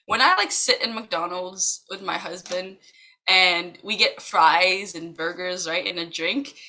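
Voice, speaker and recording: A woman's voice: lowish voice, a little slow, bright, forceful tone. A young woman from Macau co-hosting a podcast, telling stories in a rambling way with frequent giggles. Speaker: podcast co-host. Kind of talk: casual chat.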